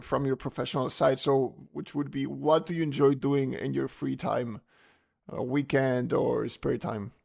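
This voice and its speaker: A man's voice: mid-range voice, creaky. A man co-hosting a podcast in a casual, chatty interview style. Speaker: podcast co-host.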